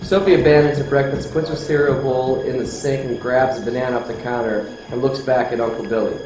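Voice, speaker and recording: A man's voice: mid-range voice, fairly even intonation, fairly quick. A man leading a screenplay table read as filmmaker, reading directions and talking casually. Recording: very echoey room, loud music behind.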